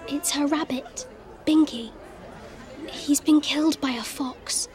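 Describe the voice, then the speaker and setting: A woman voicing a sympathetic teenage schoolgirl speaking in a hushed, whispery way. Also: high voice, steady tone, takes it slowly, crowd noise, voice pushed up.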